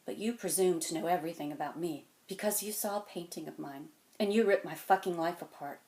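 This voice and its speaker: A woman performing a dramatic monologue as a weary, wounded, stern mentor, speaking deliberately and intensely, moving between hushed and forceful. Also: fairly low pitch, lightly acted. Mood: hurt, angry. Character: weary, wounded, stern mentor confronting a cocky young genius. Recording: echoey room.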